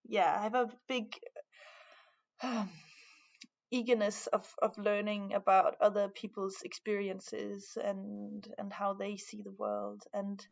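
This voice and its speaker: A young woman from Denmark with a Danish accent, speaking English haltingly in a casual conversation, full of fillers and restarts. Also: mid-range voice, level tone, vocal fry, lo-fi sound. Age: young adult. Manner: hesitant, many fillers and restarts. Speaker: art student, guest.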